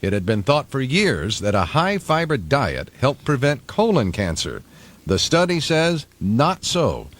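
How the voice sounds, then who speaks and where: Guy reading news on morning radio, pretty animated pitch, tosses in little comments. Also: measured pace. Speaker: radio newsreader and sidekick. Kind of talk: news reading with side remarks.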